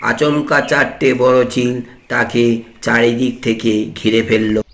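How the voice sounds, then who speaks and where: Very slow-paced male cartoon storyteller. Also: medium pitch, level tone, fairly bright tone. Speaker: narrator. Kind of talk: scripted storytelling narration for a cartoon fairy tale.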